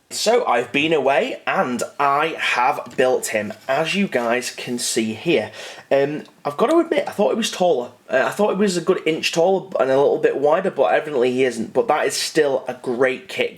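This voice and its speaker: Sniffly chatty man. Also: medium pitch. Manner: chatty, apologetic, many fillers and restarts. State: stuffy with a cold. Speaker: miniatures hobby video host. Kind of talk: casual unboxing talk to camera.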